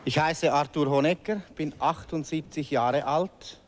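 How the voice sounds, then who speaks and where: A man introduces himself in a deadpan, mock-formal way. His voice sits a little high. The long studio reverb and the audience murmur place him on a TV show stage. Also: unhurried, some chatter behind. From Switzerland. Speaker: game show contestant. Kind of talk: mock-serious self-introduction. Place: large TV studio.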